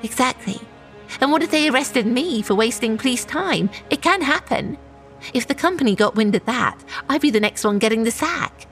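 A woman's voice: a little high, lively intonation, normal speed, fairly bright tone, soft background music. An actress playing an anxious, self-justifying woman shop manager in a naturalistic audio drama. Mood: worried.

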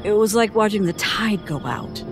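A haunted, shaken woman played by an actress tells of a childhood nightmare in an audio drama. She speaks haltingly, at a middle pitch, over clearly audible music. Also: animated, lightly acted. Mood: haunted, tense. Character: shaken woman recounting a traumatic childhood ordeal. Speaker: interviewee.

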